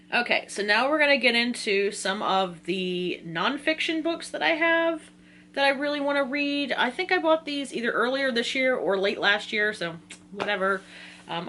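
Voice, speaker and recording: An American woman from Virginia talking casually in an excitable, rambling, slangy way, like a book vlogger. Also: a little high, measured pace, slight background noise. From Virginia, United States. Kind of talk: casual chatty video talk.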